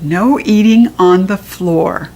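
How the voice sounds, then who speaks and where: A woman's voice: on the low side, slow pace, vocal fry, a little mellow, heavily acted, low-quality recording. Woman voicing a kindly, baffled American grandpa. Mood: disapproving. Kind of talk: children's storybook reading.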